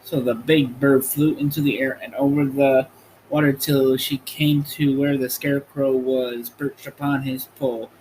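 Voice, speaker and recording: A man's voice: mid-range voice, level tone, unhurried, a little mellow, rough recording. A man reading a children's storybook aloud as scripted narration. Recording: light background noise.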